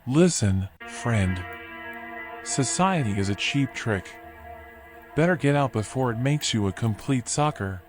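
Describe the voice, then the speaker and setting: An actor playing a washed-up animal drifter in a noir video game, folksy, rambling and resigned. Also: male voice, mid-range voice, lively intonation, unhurried, natural voice. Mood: wry, resigned. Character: washed-up male drifter animal, philosophical, wry, weary. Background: music.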